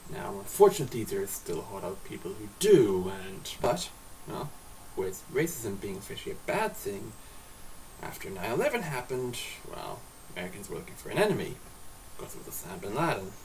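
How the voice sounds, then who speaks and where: A man's voice: on the low side, very animated, fairly quick, a little mellow. Tired, slurring Irish man vlogging. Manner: rambling and conversational, with many restarts and acted-out asides. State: tired late at night, slightly slurred. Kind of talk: casual spoken video essay. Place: indoors at home at night. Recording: distant mic with faint hiss.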